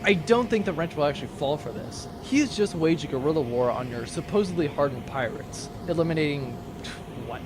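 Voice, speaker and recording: An actor playing an unflappable, pedantic captive crewman in a comic sci-fi audio drama, casual and deadpan. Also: a little high, a little fast, heavy background noise, lightly acted. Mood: wry.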